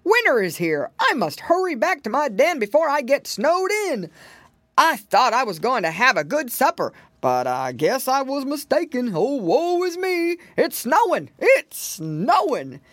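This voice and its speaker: Woman acting out a loud, wailing storybook bear. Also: sits high, huge pitch swings, measured pace, no room sound, pitched above the performer's own voice, full character voice. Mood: woeful, startled.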